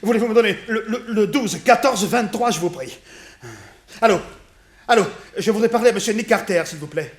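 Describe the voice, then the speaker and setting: An actor playing a courteous, fussy, easily flustered hotel manager in a French radio detective drama. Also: male voice, high-pitched, a little fast, reverberant, close to an everyday voice. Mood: flustered, alarmed.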